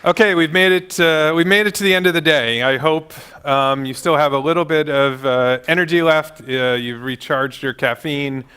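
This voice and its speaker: A man who is a vice president of engineering gives a conference talk in a calm, measured way, with frequent ums and uhs. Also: on the high side, clean tone, some chatter behind. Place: conference stage before an audience.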